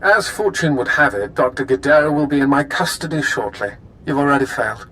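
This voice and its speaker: This is a cold, calculating intelligence agent from a video game, voiced by a man. He speaks formally and with measured, smug confidence, over faint background noise. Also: mid-range voice, a bit bright, natural voice. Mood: smug, confident. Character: cool, calculating male imperial intelligence agent. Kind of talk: scripted video game dialogue.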